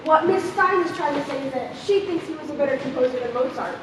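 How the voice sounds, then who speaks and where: A woman's voice: high voice, fast, close to an everyday voice. A woman acting a prim, reasonable character in an amateur stage play, recorded from afar in a noisy, echoey space. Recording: echoey hall, distant mic, noisy.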